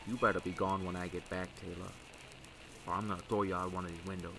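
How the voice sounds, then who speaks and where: An actor playing a brash, tough gangster and smuggler in an old-time radio drama, with slangy, blustering delivery. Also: male voice, deep voice, level tone, fast, gravelly, music in the background. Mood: threatening.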